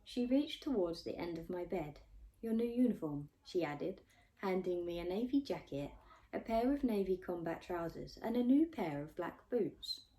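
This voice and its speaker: Woman, author-narrator, expressive audiobook reading, soft mellow tone, slightly low pitch. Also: normal speed, rough recording. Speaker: author reading own book.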